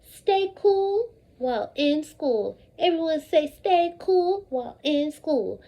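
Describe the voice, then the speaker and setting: A woman teacher leading an interactive lesson for young children, speaking in an animated, repetitive call-and-response way with deliberate emphasis. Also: sits high, rough recording. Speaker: elementary school teacher.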